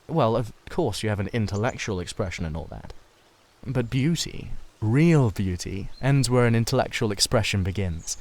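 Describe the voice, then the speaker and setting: A man's voice: medium pitch, close to an everyday voice. A man voicing a languid, witty, vain aristocrat with a pompous drawl in a dramatised novel reading.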